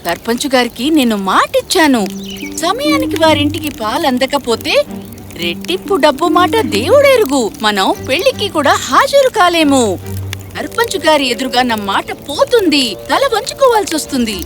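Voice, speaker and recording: A stern old village grandmother in an animated fairy tale. She fusses and scolds in a very high voice that swoops dramatically up and down. Music runs behind the speech. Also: moderate pace. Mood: anxious warning. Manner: scolding, exclamatory, fussing.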